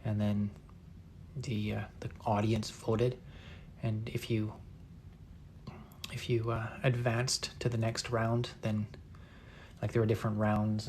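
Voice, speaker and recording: A soft-spoken man in his thirties talks casually as he paints, stopping and restarting often. His voice sits low and barely moves in pitch, and a faint hiss lies under it. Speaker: painter streaming a live session. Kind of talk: casual chat while painting.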